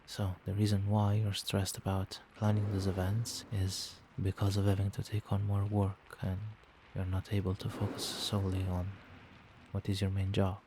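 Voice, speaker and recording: A man playing a doting, gentle vampire boyfriend in a soft-spoken ASMR roleplay, tender, reassuring and coaxing. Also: deep voice, completely flat delivery, moderate pace, noisy background. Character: doting, gentle male vampire boyfriend soothing partner to sleep.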